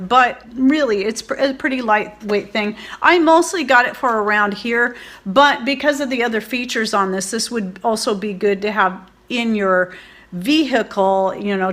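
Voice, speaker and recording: A woman's voice: medium pitch, a bit bright. A woman giving a casual product review as a video host, in a chatty, informal, rambling way. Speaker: host of a homesteading and preparedness video channel. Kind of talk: casual product review vlog, talking to viewers.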